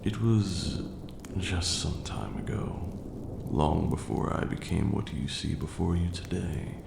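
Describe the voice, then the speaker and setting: Ancient male vampire lord, acted by a man for ASMR roleplay: very low, heavy fry, formal, condescending yet tender mentor. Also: lively intonation, heavily acted. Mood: tender, wistful. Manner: measured, formal archaic diction, condescending yet doting. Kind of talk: slow intimate ASMR roleplay acting. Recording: roomy echo, faint background noise.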